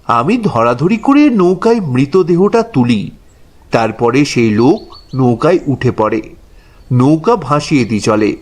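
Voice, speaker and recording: A man's voice: medium pitch, expressive, unhurried, darkish tone. A man narrating a horror story dramatically in the first person as a boatman.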